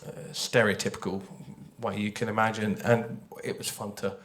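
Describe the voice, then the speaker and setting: A man talking informally to an audience as a writer on a panel, animated and conversational, often checking in with questions. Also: lowish voice, normal speed. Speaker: audio drama writer on a panel.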